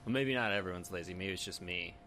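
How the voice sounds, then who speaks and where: A man's voice: low voice, fast, pushed and bright, a little hiss or hum. An American man hosting an interview, chatting casually and joking, laughing often. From United States. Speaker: interviewer, host.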